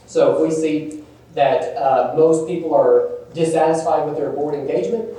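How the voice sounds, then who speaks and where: A man's voice: fairly high pitch, normal speed, dark tone. A man presenting a workshop at a nonprofit conference, talking casually with fillers. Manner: casual, conversational, many fillers. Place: large echoey conference room. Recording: echoey room, distant mic.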